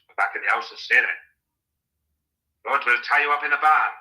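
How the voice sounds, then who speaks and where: A man's voice: medium pitch, a little fast, bright, forceful tone, poor audio. An actor in a radio drama playing a blunt, menacing local man who leads a gang, terse and taunting.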